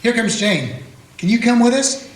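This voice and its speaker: A man playing a steady small-town Old West lawman in a radio play, telling the story plainly in the first person. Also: extremely high pitch, normal speed. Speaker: narrator and lawman character. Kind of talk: amateur radio play acting. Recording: echoey room with guitar music.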